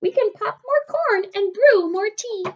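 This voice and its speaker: A teeny, kindly mouse played by a woman reader squeaks out storybook rhymes in a very high voice. It is timid and small, speaking in short exclamations. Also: animated, measured pace, highs cut off, full character voice. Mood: soothing.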